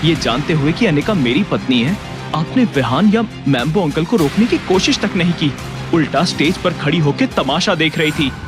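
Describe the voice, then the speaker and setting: A man's voice: a little high, expressive, normal speed, music in the background, lightly acted. A man playing a proud, hot-tempered, sarcastic young husband in an audio drama, assertive and biting. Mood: cutting. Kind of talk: Hindi audio drama acting.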